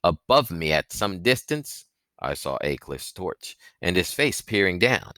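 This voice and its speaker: A man narrating an audiobook in an expressive, suspenseful, dramatic storytelling style. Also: low-pitched, measured pace, no room sound.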